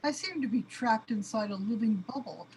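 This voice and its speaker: A high, anguished voice from a woman actor plays an overwhelmed cosmic being in a radio drama. The being panics and questions everything around it. The recording carries noticeable background noise. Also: animated, moderate pace, darkish tone, low-quality recording. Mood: troubled. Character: overwhelmed, panicky cosmic being trapped in its own space body. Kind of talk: dramatic radio play acting.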